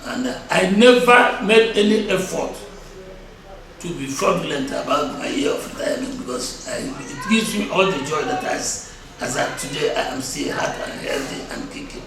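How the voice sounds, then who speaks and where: A man of about seventy with a Nigerian Igbo accent, answering interview questions as a chief in a deliberate, emphatic way, repeating his phrases. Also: sits high, wildly swooping pitch. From Nigeria (Igboland). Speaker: traditional title chief being interviewed. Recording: echoey, distant mic, crowd noise behind.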